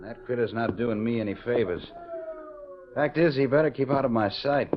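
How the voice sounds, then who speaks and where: Man acting a gruff, hot-headed western rancher. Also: medium pitch, moderate pace, close to an everyday voice. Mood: hostile. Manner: blunt, folksy western drawl. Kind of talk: 1940s radio western acting. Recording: dull lo-fi 1940s radio recording.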